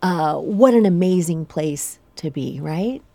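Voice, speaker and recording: A woman's voice: fairly low pitch, animated, slow, creaky, dry recording. A woman co-hosting a radio show, chatty and friendly, interviewing a guest inside a planetarium. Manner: chatty, hushed at times. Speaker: radio co-host. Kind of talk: casual interview talk. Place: planetarium dome.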